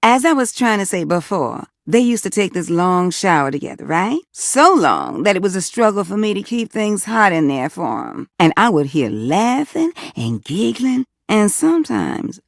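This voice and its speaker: A woman's voice: medium pitch, big pitch swings, normal speed, vocal fry, hardly any pauses, no echo, clean studio sound. A woman voicing a flirty, teasing, gossipy household appliance in a video game, with a Southern US accent and sweet-talking manner. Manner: sweet-talking, teasing, drawling terms of endearment. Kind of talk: video game character voice acting.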